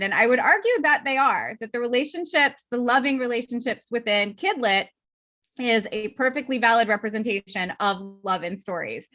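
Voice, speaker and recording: A woman's voice: a little high, sharp tone. Upbeat woman moderating a video panel. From United States. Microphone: video call. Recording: slightly dull lo-fi audio.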